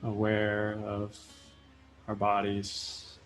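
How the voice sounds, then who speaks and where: A man leading a guided meditation in a soothing style, speaking in short, measured phrases with long pauses. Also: fairly low pitch, monotone. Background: soft plucked guitar music.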